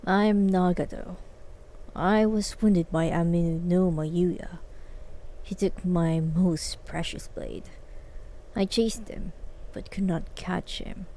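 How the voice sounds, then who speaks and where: A woman's voice: on the low side, steady tone, slow, dark tone, slight background noise. A woman game streamer giving casual live commentary and reading the game's text aloud.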